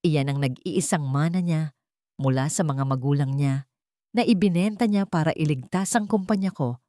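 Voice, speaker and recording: A woman's voice: low-pitched, normal speed, mellow, no room sound, studio quality, lightly acted. A woman voicing a betrayed, angry wealthy man in an audio drama, cold and accusing. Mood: suppressed anger. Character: betrayed wealthy adult son, angry and wounded.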